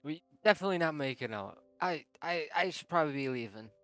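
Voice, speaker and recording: A man's voice: on the high side, expressive, quick pace, a bit bright, dry recording, faint music behind. A man playing in an improvised tabletop game, speaking with hesitant stammering. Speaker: player.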